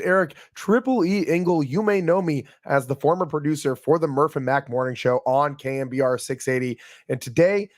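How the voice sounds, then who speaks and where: A man with a raspy, worn voice hosts a casual sports podcast, chatting through a game recap with frequent fillers and restarts. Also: a little high, no echo, clean studio sound. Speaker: podcast host.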